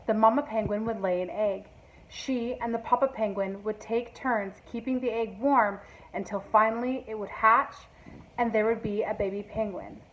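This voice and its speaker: Through a thin, phone-quality recording, a woman with a medium-pitched voice reads a story for children. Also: normal speed, slight background noise. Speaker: school staff member reading aloud. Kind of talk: children's storybook read-aloud. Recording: thin phone-like band-limited sound.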